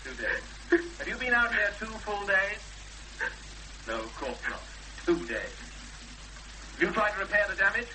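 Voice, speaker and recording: An actor playing an angry, impatient fiancé, clipped and accusing, in a 1970s radio drama. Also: male voice, high voice, big pitch swings, unhurried, pauses often. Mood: scornful accusing. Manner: clipped, accusing, pressing with blunt questions. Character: forceful, angry young fiancé confronting a victim, impatient and accusing. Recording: faintly noisy, humming old radio broadcast.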